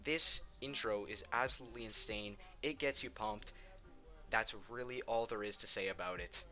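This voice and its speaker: A man's voice: fairly low pitch, moderate pace, over music. A man giving casual, opinionated countdown commentary on video games, enthusiastic and emphatic, repeating words for stress. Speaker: video game ranking host.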